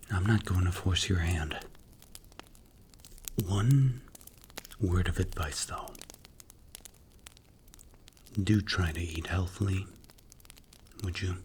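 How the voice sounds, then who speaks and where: A man playing a strict, commanding mafia boss in an ASMR roleplay, speaking in a stern, deliberate, clipped way. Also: low voice, a little mellow, slight background noise. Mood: measured, reproachful.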